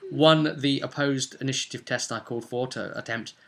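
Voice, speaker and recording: Bright-voiced man casually recapping a game. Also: medium pitch, creaky. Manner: rambling, halting delivery. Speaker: game master recapping a tabletop role-play session. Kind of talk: casual unscripted storytelling recap.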